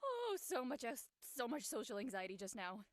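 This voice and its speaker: A woman playing an excitable, self-deprecating young woman with a playful, dramatic way of talking in an audio drama. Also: on the high side, moderate pace. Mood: sheepish joking. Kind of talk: acted audio drama dialogue.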